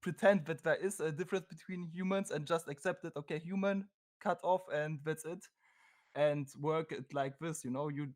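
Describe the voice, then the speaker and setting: A man's voice: on the high side, moderate pace. A man from Germany with a German accent, a non-native English speaker debating on a call, hesitant and searching for words. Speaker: caller.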